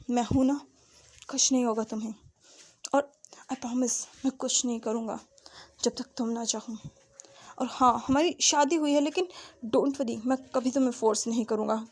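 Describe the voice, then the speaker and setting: A woman voicing a calm, possessive young husband who speaks slowly and softly to soothe. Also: gravelly, husky. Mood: soothing. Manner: slow, low, soothing and hushed.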